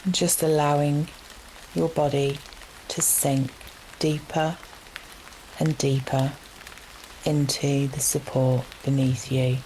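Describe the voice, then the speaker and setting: Low, very slow woman guiding meditation. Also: a little hiss or hum, poor audio. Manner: gentle, soothing, unhurried with long pauses. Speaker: yoga nidra meditation guide. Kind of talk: guided relaxation meditation. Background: steady rain sounds.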